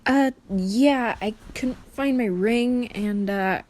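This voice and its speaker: A woman's voice: on the high side, slow pace, a little mellow, pitched above the performer's own voice. A woman voicing an uneasy, hesitant man in a narrated story, speaking awkwardly. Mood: uneasy, confused. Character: uneasy, hesitant man searching for his partner.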